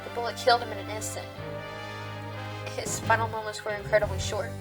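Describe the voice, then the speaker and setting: A woman's voice: medium pitch, flat delivery, a little fast, lightly acted. A woman playing an earnest, anxious but feisty young rabbit defense lawyer in an audio drama. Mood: tense. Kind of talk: audio drama acting with inner monologue. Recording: roomy, distant mic, faint music.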